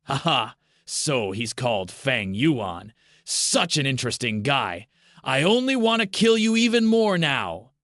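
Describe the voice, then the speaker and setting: A man's voice: mid-range voice, very animated, measured pace, a bit bright, dry recording, clean studio sound, pitched above the performer's own voice. A man voicing an arrogant, bloodthirsty young villain in an audiobook, with a taunting, theatrical manner. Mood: gleeful bloodlust. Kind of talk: audiobook character dialogue.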